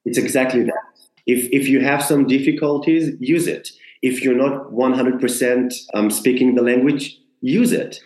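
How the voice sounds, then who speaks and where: A man's voice: medium pitch, steady tone, moderate pace, a little mellow. A man from Israel, an actor, talking earnestly in an interview and often restarting as he searches for words. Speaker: actor, guest. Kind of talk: conversational interview. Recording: roomy echo.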